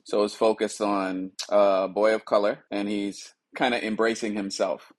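A man from Liberia, raised in the United States, talking reflectively and with emphasis as an artist explaining his own work in unscripted, conversational phrasing. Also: fairly low pitch. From Liberia, West Africa, raised in the United States. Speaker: contemporary mixed-media artist.

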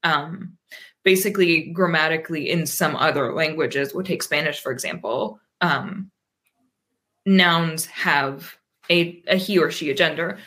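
A woman's voice: low voice, a little slow, pushed and bright. Thoughtful rambling woman in her thirties. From Pennsylvania, USA. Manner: thoughtful, rambling, frequent ums and restarts. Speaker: guest. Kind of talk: casual interview conversation.

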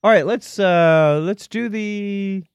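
A man's voice: sits high, takes it slowly. A man co-hosting a podcast with casual, joking banter and readings of listener messages. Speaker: podcast co-host.

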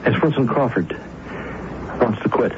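A man's voice: medium pitch, a little slow, lightly acted. A man playing a nervous, insistent criminal middleman in a 1970s radio drama. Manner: urgent, insistent, stammering when rattled. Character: nervous, menacing male smuggling middleman under pressure. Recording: dull, hissy, noisy 1970s radio recording.

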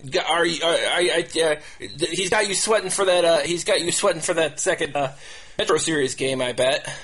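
A man with a somewhat high-set voice hosts a casual sports discussion. He speaks in a halting, looping way, often repeating the start of a phrase before carrying it through. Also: a bit bright, husky. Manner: halting, repetitive, restarts phrases over and over. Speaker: podcast host.

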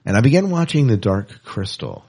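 Low, husky man with lively swings. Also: normal speed. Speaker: podcast co-host. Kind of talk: casual podcast chat.

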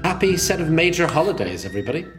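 A man's voice: a little high, very animated, fast, music in the background. A man taking part in a casual podcast conversation. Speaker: podcast participant, performer. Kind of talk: casual chat.

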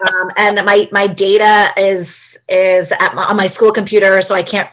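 A woman from New Jersey, a teacher, chatting as a podcast guest over a remote call, bubbly and quick to laugh. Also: mid-range voice, level tone, fairly bright tone. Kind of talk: casual podcast chat.

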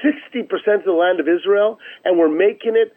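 A man speaking as an interview guest over a phone line, pitching his organization emphatically and repeating phrases for effect. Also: fairly quick. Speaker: charity chief executive, interview guest. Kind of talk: promotional radio interview talk. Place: remote call into a radio show. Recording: narrow, tinny phone line.

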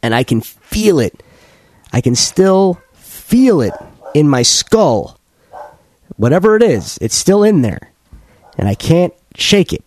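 A man co-hosting a podcast, still congested from a cold, rambling through animated stories with many restarts. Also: on the high side, slow, pauses often, dry recording. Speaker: podcast co-host. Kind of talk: casual chat.

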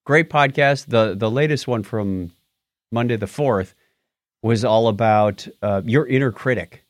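A man's voice: medium pitch, a little slow, no room sound, clean studio sound. A middle-aged man hosting a podcast in casual chat, upbeat as he wraps up segments. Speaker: podcast host.

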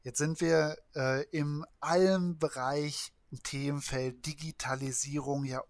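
Relaxed male interviewer, dry sound. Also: a little high, a little slow, dry recording. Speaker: interviewer, host. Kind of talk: casual interview questions.

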